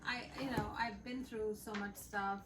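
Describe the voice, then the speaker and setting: A woman's voice: mid-range voice, fairly even intonation, normal speed, far from the mic. Woman chatting off-mic in a roomy space. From Bangladesh and the United States. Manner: rambling, full of fillers and restarts. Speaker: podcast co-host. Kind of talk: casual chat. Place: home room. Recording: roomy, off-mic with faint background noise.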